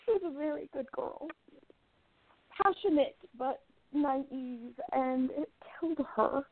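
An earnest young twenties columnist, as a woman plays her, speaking with passion in a fairly high voice. The audio is thin and tinny, as if over a phone line. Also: slow pace, no echo. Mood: tender. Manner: earnest, impassioned about women's rights. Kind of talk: amateur radio play acting.

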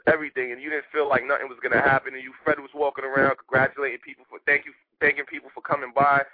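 A man from Haiti, a rapper guest talking casually in a radio interview, animated and streetwise. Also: medium pitch, no room sound. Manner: animated, streetwise, rapid talk with repeated "you know what I mean". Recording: tinny phone line, dry.

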